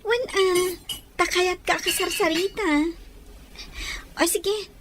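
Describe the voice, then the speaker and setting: Woman acting a very high-voiced, coy schoolgirl. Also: fairly even intonation, takes it slowly, background noise. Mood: eager. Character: coy, eager, lovestruck schoolgirl from a rich family. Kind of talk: radio drama acting.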